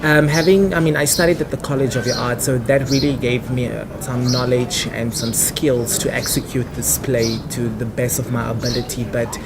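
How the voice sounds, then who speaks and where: A man's voice: fairly even intonation, moderate pace, few pauses. A man in his early twenties with a Namibian accent, a native Afrikaans speaker, giving earnest, emphatic answers as an interview guest. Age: early 20s. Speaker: interview guest, actor and theatre director. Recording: noisy ambient background, traffic-like rumble.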